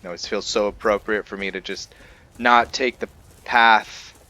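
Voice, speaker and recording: A man's voice: fairly low pitch, fairly even intonation, normal speed, sharp tone. A man giving casual commentary as he plays a video game, musing aloud in a laid-back way. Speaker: host of a video game roleplay series. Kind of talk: casual let's-play commentary.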